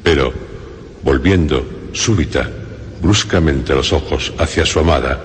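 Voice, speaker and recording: A man with an accent from Spain, narrating scripted horror radio as a host, dramatic and suspenseful, with deliberate long pauses. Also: very deep voice, slight background noise. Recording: music bed under the voice. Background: music.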